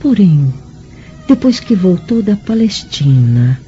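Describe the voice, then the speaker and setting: A proud old Roman matron in a radio play, stern and formal. Her voice is very soft-edged and dark, with sweeping intonation. The recording is muffled. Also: fairly low pitch, very animated, slow, faint music behind. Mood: measured reproach. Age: older. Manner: formal, theatrical. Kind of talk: dramatic radio play acting.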